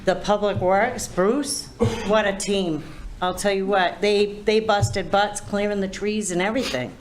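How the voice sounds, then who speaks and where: A woman speaking loudly and bluntly during public comment at a town council meeting. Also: medium pitch, steady tone, unhurried, slight background noise. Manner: loud, blunt and emphatic.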